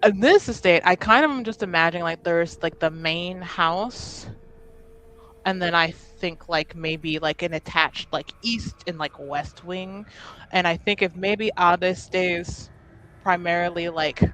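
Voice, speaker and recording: Slow-paced woman improvising game narration. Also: on the low side, a bit bright, a little hiss or hum, rough recording. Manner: halting, thinking aloud, informal. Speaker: tabletop game player.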